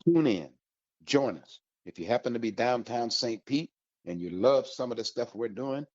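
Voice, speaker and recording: A man's voice: mid-range voice, lively intonation, normal speed, darkish tone. A man hosting a radio show, giving an emphatic promotional talk straight to listeners in an upbeat style. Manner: emphatic, addresses listeners directly. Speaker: radio host. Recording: dull, slightly lo-fi audio.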